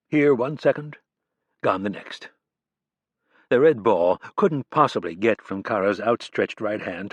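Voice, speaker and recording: A man narrating a crime thriller audiobook in a measured, even reading. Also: fairly low pitch, breathy edge, no room sound. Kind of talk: scripted narration.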